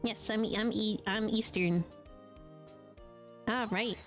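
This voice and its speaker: A woman's voice: mid-range voice, fairly even intonation, slow pace, faint music behind. A woman from Latin America who streams games, chatting casually and affectionately with her live viewers, with frequent ums and a voice tired after a long stream. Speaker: game streamer.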